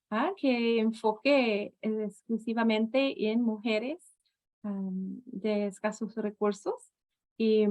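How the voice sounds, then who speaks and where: Woman moderator on a video call, fairly high voice, hesitant, full of fillers. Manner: hesitant, many filler sounds. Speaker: webinar moderator. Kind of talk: webinar introduction. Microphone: video call microphone.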